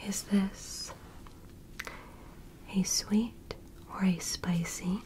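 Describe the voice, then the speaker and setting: A woman playing a polite, curious alien doctor in a whispered ASMR medical roleplay, speaking softly and breathily with gentle, formal attentiveness. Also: mid-range voice, steady tone, extremely slow, rough recording. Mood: gentle, attentive. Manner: gentle, clinical, formally praising.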